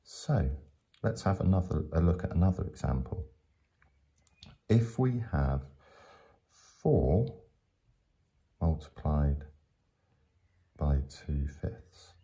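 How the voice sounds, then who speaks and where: Deep, slow-talking male maths teacher. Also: lively intonation, warm tone. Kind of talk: step-by-step explainer lesson.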